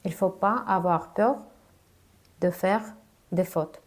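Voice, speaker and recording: A woman coach giving spoken advice on confident speaking, halting and careful as she talks in a language that is not her first. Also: on the low side. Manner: careful, halting delivery of a non-native speaker. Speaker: communication coach.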